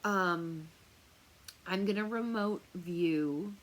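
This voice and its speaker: A woman talking casually to camera as a tarot reader, chatty and rambling with lots of fillers, with a loud, projecting voice. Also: lowish voice, drawn-out words, rough recording. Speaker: tarot reader and remote viewer. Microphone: noise-canceling earphones on.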